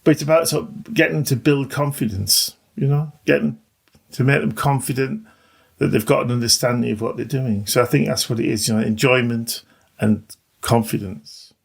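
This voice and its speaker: A man, a veteran teacher reflecting informally in a halting, modest way. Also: medium pitch, gravelly, breathy edge. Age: 60s. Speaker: veteran maths teacher, podcast guest. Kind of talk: reflective, informal interview talk.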